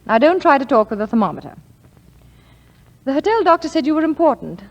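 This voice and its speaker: A brisk, authoritative lady doctor in a 1940s radio drama. Her voice is fairly high, with crisp, dry wit. A long reverb hangs over the broadcast. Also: big pitch swings, highs cut off. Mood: dry, teasing. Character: brisk, cool, dryly witty lady doctor.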